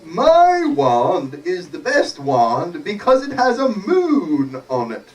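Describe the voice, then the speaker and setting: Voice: mid-range voice, wildly swooping pitch, slow pace. A performer voicing a boastful but cowardly male wizard in a storybook tale. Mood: pompous. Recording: distant mic in noisy audience room.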